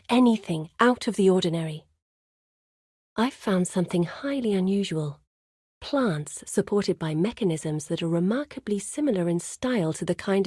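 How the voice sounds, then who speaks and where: An earnest, watchful female guide from a dark fantasy game, played by a woman. Her mid-pitched voice has a breathy, slightly rough grain, recorded very dry. She speaks gravely, in careful and formal phrases. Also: no room sound, natural voice. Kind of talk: dramatic fantasy video game dialogue.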